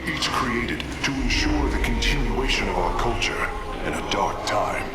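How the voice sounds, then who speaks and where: An actor playing a dutiful, scholarly alien engineer in a video game, speaking formally and with measured deference. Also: male voice, medium pitch, expressive, close to an everyday voice. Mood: reverent, solemn. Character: dutiful, scholarly male alien engineer aboard an ancient warship. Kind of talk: video game dramatic acting. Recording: loud music behind the voice.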